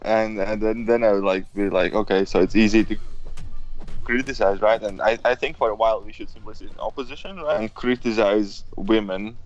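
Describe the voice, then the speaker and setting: A man's voice: fairly low pitch, brisk, lo-fi sound, faint music behind. A man chatting casually on a live stream, blunt, profane and opinionated. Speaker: guest co-host on a live stream. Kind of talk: casual ranting chat.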